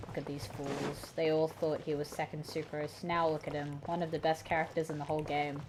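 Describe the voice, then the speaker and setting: Theatrical young woman streaming, lowish voice. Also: little pitch movement, brisk. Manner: theatrical, gushing, mock-dramatic. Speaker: game streamer. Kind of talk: excitable gameplay commentary. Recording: noisy mic with game sound. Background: game audio.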